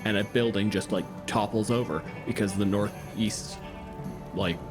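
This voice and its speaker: A man's voice: fairly low pitch, measured pace. Man, tabletop player and co-narrator, casual, improvised description, music behind.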